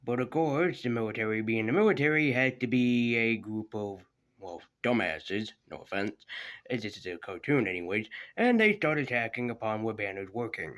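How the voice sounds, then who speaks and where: A man's voice: fairly low pitch, animated, moderate pace, fairly bright tone. Man giving a rambling, casual review. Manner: conversational, hesitant, digressive. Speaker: YouTube cartoon reviewer.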